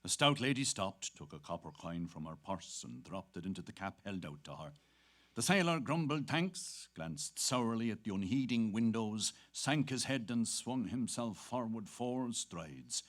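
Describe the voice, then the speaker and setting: A man's voice: fairly low pitch, expressive, measured pace. A man performing a dramatic reading of literary prose. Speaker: actor reading aloud.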